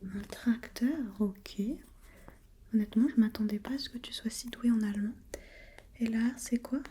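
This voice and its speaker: A woman whispering breathily in an ASMR role-play as an examiner, gently asking step-by-step questions and giving short encouragements. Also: a little high, fairly even intonation, normal speed, a little hiss or hum.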